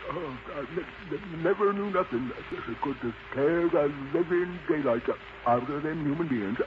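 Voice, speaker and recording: A man's voice: fairly high pitch, measured pace, close to an everyday voice. A man voicing a rustic, boastful old uncle ghost who stammers and rambles in a children's story. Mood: folksy, boastful. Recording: thin phone-like lo-fi audio with noise.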